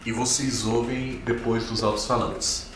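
A Brazilian man working as game master, improvising tabletop RPG narration in a halting, descriptive way with frequent tag questions. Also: medium pitch, flat delivery, noisy background. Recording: very echoey room, distant, music behind. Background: music.

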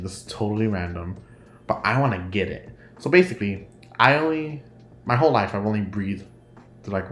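Casual solo podcast host, man, nasal, giggly and rambling; roomy echo with faint background noise. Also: fairly low pitch. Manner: rambling, giggly, laughs often.

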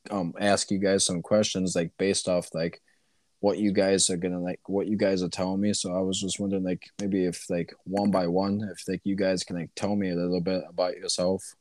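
A young man from upstate New York runs the interview in a hesitant way, with "like" and "um" in almost every phrase. His voice sits very low, and the sound is dry and close. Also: little pitch movement, fairly quick, darkish tone, no echo. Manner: hesitant, fills speech with "like" and "um". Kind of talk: casual interview.

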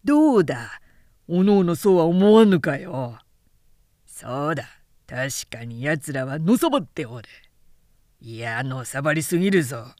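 A man's voice: a little high, expressive, measured pace, darkish tone, no echo, studio quality, voice pushed down. A woman voicing arrogant young male samurai who talk with haughty contempt in old-fashioned warrior speech, in a period story. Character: arrogant, sneering young high-born samurai drinking with comrades. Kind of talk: literary period-story reading.

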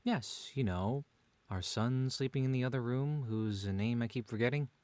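A man's voice: medium pitch, normal speed, no room sound, lightly acted. A man playing a long-suffering husband and father who banters drily in a scripted audio comedy drama. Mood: bemused. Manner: dry, deadpan, bantering.

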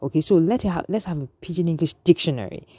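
Nigerian woman, low voice, mellow tone, earnest lecturing monologue, dry sound. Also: lively intonation, fast, breathy edge, dry recording. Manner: earnest, lecturing, frequent restarts. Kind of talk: opinion monologue to an audience.

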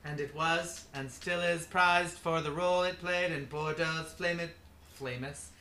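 A man's voice: on the high side, steady tone, measured pace, pushed and bright. A young man co-hosting a casual podcast, rambling with lots of fillers and swearing freely. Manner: loose, swears a lot, rambling with many fillers. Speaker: podcast co-host.

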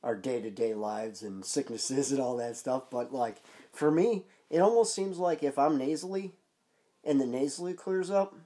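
A man's voice: mid-range voice, moderate pace, warm tone. A man co-hosting a wrestling podcast in casual chat, sounding stuffed up and nasal from congestion. He rambles loosely, with fillers and restarts. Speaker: podcast co-host.

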